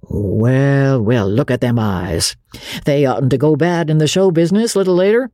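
Woman voicing a worn, kindly old circus showman in his fifties; soft, drawling courtesy. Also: extremely low pitch, lively intonation, normal speed, no room sound, studio quality. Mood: courteous. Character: weathered male showman, about fifty-five, soft-spoken.

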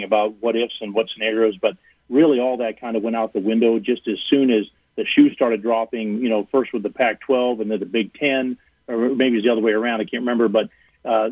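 A man calls in to a sports radio show and talks earnestly, his voice squeezed thin by a phone line. He rambles, restarts and fills gaps with "uh", and his voice sits in a middle pitch in a dry, close sound. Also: breathy edge, no echo. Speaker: athletic director guest.

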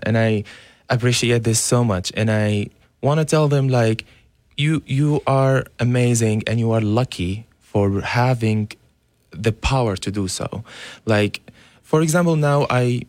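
Brief: young man, earnest and halting, lowish voice, dry studio sound. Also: darkish tone, no room sound. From Syria. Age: young adult. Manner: earnest, pleading, many fillers. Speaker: guest, dance teacher. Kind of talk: radio interview. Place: radio studio.

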